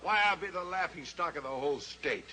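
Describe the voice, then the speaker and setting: A flustered, blustering sheriff. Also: male voice, on the high side, lively intonation, fairly quick, bright, forceful tone. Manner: blustering, exasperated. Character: flustered small-town sheriff, middle-aged man. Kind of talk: 1950s radio drama acting. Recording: old radio recording with music.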